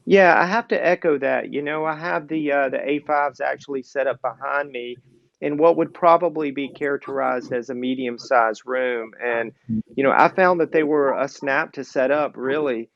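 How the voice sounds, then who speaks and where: A man with a creaky, gravelly voice interviews a guest in a casual, rambling way. His pitch is average, and the sound is dry and a little dull. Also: normal speed, a bit bright, no room sound. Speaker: interviewer, audio magazine editor. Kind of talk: conversational interview questions.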